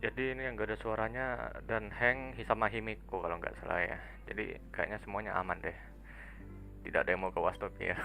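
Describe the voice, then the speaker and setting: A man's voice: on the low side, level tone, fast talker, creaky, poor audio. A man streaming a video game, giving casual, rambling commentary with hesitant restarts. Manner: rambling, hesitant, trailing off with soft hums. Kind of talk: casual let's-play commentary. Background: faint game music.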